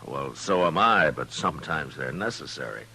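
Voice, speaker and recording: An actor playing a steady, gruff American frontier marshal, laconic and dry-witted, in an old-time radio western. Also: male voice, extremely low pitch, normal speed, heavily acted. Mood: dry, preoccupied. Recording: noisy, humming vintage radio recording.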